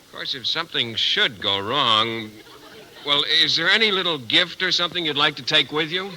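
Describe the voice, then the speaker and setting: A man's voice: on the high side, normal speed, bright, forceful tone. An actor playing a naive, penny-pinching male biology teacher in a 1950s radio sitcom, earnest and a little hesitant. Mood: well-meaning. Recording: lightly crackly old radio recording.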